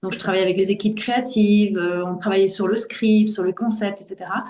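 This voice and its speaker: A woman's voice: medium pitch, fairly even intonation, a little fast, a little mellow. Forties woman, podcast guest, thoughtful and sincere, recorded in a reverberant room. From Belgium. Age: 40s. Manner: earnest, reflective, searching for words. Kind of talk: personal testimony in a podcast interview. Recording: roomy echo.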